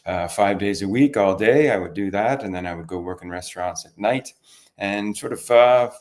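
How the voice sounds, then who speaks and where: A Canadian man speaks in a fairly low voice. He is affable and conversational, like a guest in an interview. He tells things as relaxed stories, at an easy, sociable register. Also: moderate pace. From Toronto, Canada. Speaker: interview guest, wine expert.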